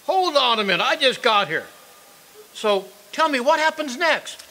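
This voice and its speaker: Man acting a high, flustered executioner. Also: sing-song, moderate pace, sharp tone, exaggerated voice. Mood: curious, softening. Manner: stammering, indignant. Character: flustered, indignant, dutiful dead executioner. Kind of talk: stage radio drama acting.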